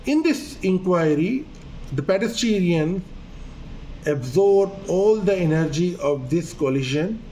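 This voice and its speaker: Earnest hesitant doctor giving a lesson. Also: male voice, high-pitched, a little mellow, a little hiss or hum, poor audio. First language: Urdu. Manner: hesitant, earnest, repeats phrases with fillers. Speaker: doctor presenting a medical video lesson. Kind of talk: medical lecture.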